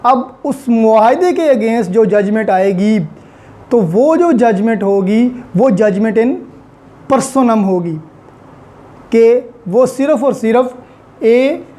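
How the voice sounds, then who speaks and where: A woman's voice: on the high side, animated, slow, mellow. A woman with a Pakistani accent giving an explanatory law lecture, didactic and repeating key points, switching between two languages.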